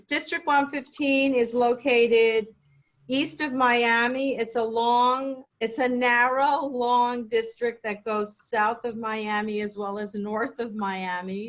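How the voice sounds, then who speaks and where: A woman's voice: fairly high pitch, steady tone, slow pace. A woman hosting a video call roundtable, welcoming candidates with a few fillers. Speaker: giving circle organizer and host.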